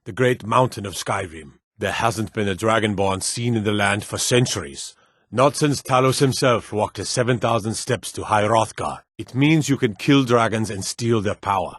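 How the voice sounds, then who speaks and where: An actor playing a stern, dutiful fantasy lord and soldier in a video game, speaking in a formal, measured, authoritative way. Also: male voice, lowish voice, a bit bright, dry recording, clean studio sound. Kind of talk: video game character dialogue.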